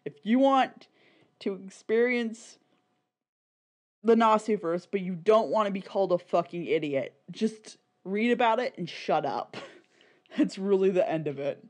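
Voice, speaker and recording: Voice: mid-range voice, unhurried, darkish tone. Rambling, sweary podcast co-host. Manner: rambling, emphatic, swears freely. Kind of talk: casual, opinionated podcast chat about niche fan media.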